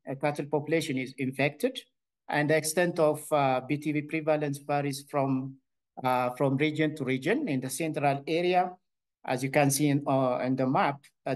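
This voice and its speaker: Hesitant Ethiopian man presenting research. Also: a little high. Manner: hesitant, many filled pauses and restarts. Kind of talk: conference presentation.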